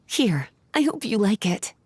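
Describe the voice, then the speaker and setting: A woman playing a confident, teasing lady scholar in an anime game, smooth and playful. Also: mid-range voice, sing-song, measured pace, dry recording. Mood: relaxed. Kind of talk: anime video game voice acting. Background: music.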